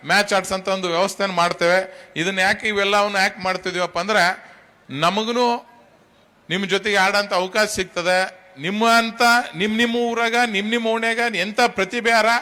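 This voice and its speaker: A man's voice: sits high, sharp tone. A middle-aged man with a North Karnataka accent giving an emphatic, colloquial public speech as an event organiser, addressing his listeners directly. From Karnataka, India. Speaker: tournament organiser.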